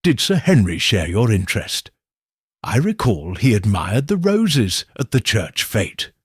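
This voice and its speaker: Male reader as genteel old lady detective: soft-spoken, harmless-seeming, slow, lilting delivery. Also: lowish voice, sing-song, slightly rough, no echo, clean studio sound, heavily acted. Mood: mild, curious. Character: gentle, sharp-witted elderly lady sleuth, deceptively harmless.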